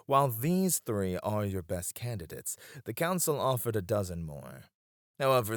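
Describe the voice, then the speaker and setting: A man voices a scheming royal advisor in an ASMR roleplay, with a very low voice that still rises and falls with a lot of life. He talks softly and teasingly, close to the microphone, and sinks to a near-whisper on his titles. The sound is dry, with no room around it. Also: no echo. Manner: soft-spoken, dropping to a hush on titles; teasing and confiding. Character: scheming male royal advisor, smooth and devoted. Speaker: voice actor.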